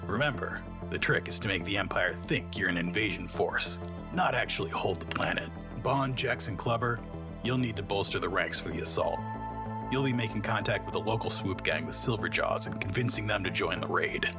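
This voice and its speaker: A man's voice: deep voice, a little fast. A man playing a stern, earnest rebel lieutenant giving a briefing in a scripted space audio drama. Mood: grave, commanding. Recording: music under the voice.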